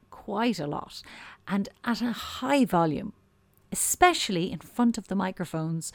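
An actress playing a prim, officious radio producer in a darkly comic audio drama, measured and pointed. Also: female voice, a little high, a little mellow, dry recording, heavily acted.

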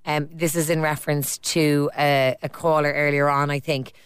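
A woman's voice: low-pitched, little pitch movement, normal speed, clean tone, dry recording, clean studio sound. A woman with an Irish accent hosting a phone-in radio show, chatting and teasing callers and reading out listener texts. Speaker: radio host. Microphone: studio mic.

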